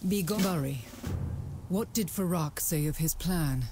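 Actress as a low, imperious female dragon leader. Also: over music, close to an everyday voice. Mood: cold, commanding. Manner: measured, imperious, clipped. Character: proud, stern female dragon leader, commanding and cold. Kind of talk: video game voice acting.

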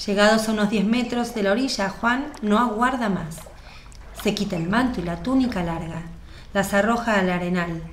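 A woman's voice: medium pitch, moderate pace. A woman narrating a radio drama from a script. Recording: roomy, faint background noise.

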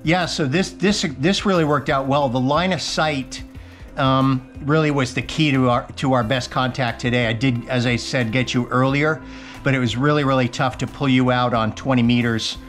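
A man's voice: mid-range voice, steady tone, normal speed, a bit bright. Male ham operator, casual and upbeat, recorded on a desk camera at home with faint music behind. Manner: upbeat, frequent uh fillers. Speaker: ham radio operator and private pilot. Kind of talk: casual vlog narration and radio chat. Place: home radio room. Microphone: GoPro camera on the desk.

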